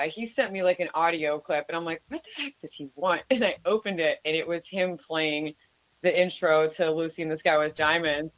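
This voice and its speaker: A woman singer with a deep voice for a woman, chatting casually as a guest and laughing often. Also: muffled recording. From United States. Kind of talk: casual interview chat.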